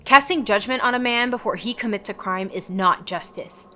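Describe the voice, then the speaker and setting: A woman's voice: medium pitch, normal speed, pushed and bright, lightly acted. A woman playing a principled, battle-hardened superhero in a radio drama, firm and defiant. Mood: firm, skeptical. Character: principled, tough female superhero, a battle-hardened war veteran.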